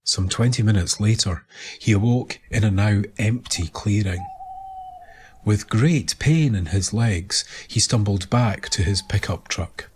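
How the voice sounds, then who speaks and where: A man narrating a scripted documentary story in a measured, deliberate manner. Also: lowish voice, breathy edge. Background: music.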